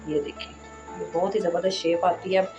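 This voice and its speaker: A hoarse woman walks viewers through a sewing tutorial in a relaxed, reassuring way. Her voice sits low and stays flat, and clear music plays behind her. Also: fairly quick. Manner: chatty and reassuring, frequent "accha ji". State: hoarse, sore throat. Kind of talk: casual step-by-step sewing tutorial.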